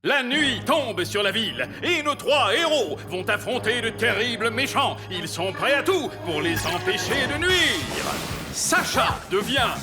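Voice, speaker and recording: A man's voice: extremely high pitch, big pitch swings, unhurried. A man giving dramatic announcer narration for a cartoon intro. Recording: loud cartoon theme music.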